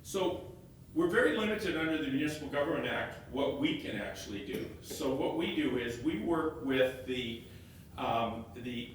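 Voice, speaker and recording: A man, an elected councillor presenting slides to a meeting, halting and often repeating his words as he restarts phrases. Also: expressive. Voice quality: loud voice. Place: meeting room, stepping back from a fixed mic. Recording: very echoey room, heard from a distance, faint rumble.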